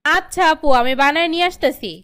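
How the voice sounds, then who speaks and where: A woman as a high-voiced, meek young wife. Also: quick pace, a bit bright, no echo, studio quality. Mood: meek, reassuring. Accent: Bangladeshi colloquial. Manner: compliant, self-effacing. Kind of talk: cartoon drama dialogue.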